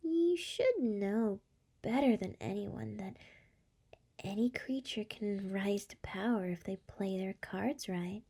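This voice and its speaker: A woman voicing a seductive, scheming succubus demon lord in an ASMR roleplay, breathy and soft, whispering with a teasing purr close to the microphone. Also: medium pitch, lively intonation, slow, full character voice. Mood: teasing, smug.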